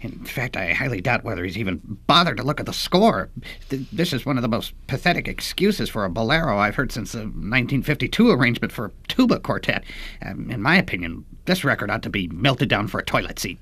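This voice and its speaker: Satirical radio comedy: long-winded, self-important male critic, rough-edged voice, lively pitch. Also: brisk, no room sound. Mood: scornful. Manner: pompous, florid, hesitant. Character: pompous, effusive, long-winded male classical music critic. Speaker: panel music critic.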